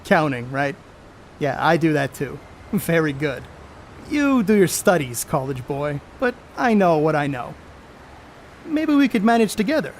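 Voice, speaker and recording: A man's voice: fairly high pitch, sing-song, a little slow, a little hiss or hum. A man on stage playing a brash, cocky 1930s baseball star who blusters in folksy, profane slang. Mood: teasing, boastful. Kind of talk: amateur stage play acting.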